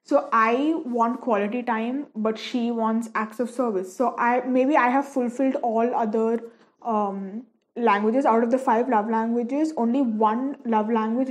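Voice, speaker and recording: A young woman whose first language is Malayalam talks in a loose, chit-chatty way, filling pauses with little ums and uhs as she paints. Her voice sits slightly high for a woman. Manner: chatty, many fillers and restarts. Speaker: painting vlogger. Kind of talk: casual chat over a painting session.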